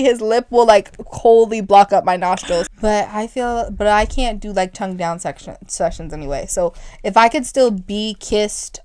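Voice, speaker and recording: A young woman co-hosting a podcast in casual chat, animated and rambling, sometimes rising loud and shrill when excited. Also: clear, resonant tone, no echo. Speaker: podcast co-host.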